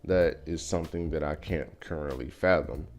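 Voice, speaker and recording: Young man, very low voice, casual vlog commentary, thoughtful, faint music behind. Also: darkish tone. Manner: thoughtful, measured, frequent fillers. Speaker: vlogger. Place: car.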